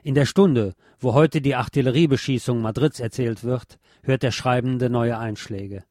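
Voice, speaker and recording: A man giving a measured, solemn scripted reading of literary prose as narrator, articulating clearly. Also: mid-range voice, a little mellow, no room sound, clean studio sound. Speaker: narrator and reader.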